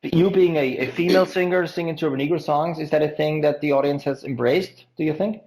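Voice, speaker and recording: Gravelly man asking halting questions. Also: on the high side, a little mellow, poor audio. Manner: halting, stumbles over words. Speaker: podcast host. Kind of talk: interview questions.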